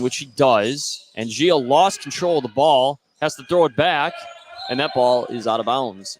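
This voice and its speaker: A man calling live basketball play-by-play for a radio broadcast. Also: medium pitch. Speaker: play-by-play announcer. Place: indoor basketball gym, live game. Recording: noisy live gym broadcast.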